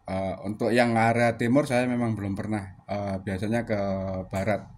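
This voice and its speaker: A man's voice: on the low side, steady tone, moderate pace, darkish tone. A man from Surabaya chatting casually over ham radio, with lots of fillers, ending each turn with a sign-off word. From Surabaya, Indonesia. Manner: chatty, many fillers, ends turns with "ganti". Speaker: ham radio operator and channel host.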